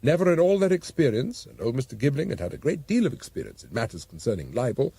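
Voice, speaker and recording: A man narrating an audiobook in a wry, expressive storytelling manner, on a close studio microphone. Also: mid-range voice, fast, vocal fry, breathy edge, no echo.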